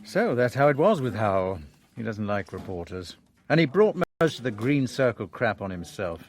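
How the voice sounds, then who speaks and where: An actor playing a dry, sceptical foreign correspondent in a radio drama, narrating and asking questions. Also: male voice, medium pitch, very animated, normal speed. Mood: frank, irritated.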